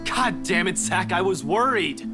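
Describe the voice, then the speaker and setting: An actor plays an exasperated young man in a visual novel, scolding out of worry. The delivery swings widely in pitch, with music clearly audible behind it. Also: expressive, measured pace, natural voice. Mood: angry, worried. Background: guitar music.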